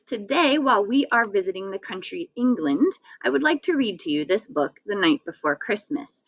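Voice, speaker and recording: A woman's voice: medium pitch, expressive, moderate pace, no echo, dull audio. A woman hosting a read-aloud for children, speaking directly and expressively to young listeners.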